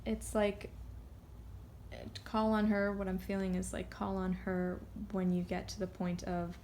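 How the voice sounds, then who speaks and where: A woman speaks as a card reader in a loose, unscripted way. Her voice is mid-pitched with a lot of creaky fry. She halts, restarts and wanders into side tangents, with faint noise behind her. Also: flat delivery, darkish tone. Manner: rambling, halting, goes off on tangents. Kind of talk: casual, unscripted intuitive card reading.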